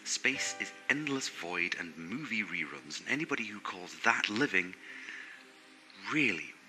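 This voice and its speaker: A man's voice: fairly low pitch, big pitch swings, unhurried, music in the background, low-quality recording. A man playing a vain, sarcastic, rambling robot crewmate in an audio drama, insecure under the swagger. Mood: bitter, scornful. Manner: theatrical, sarcastic, rambling monologue. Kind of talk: scripted audio drama monologue.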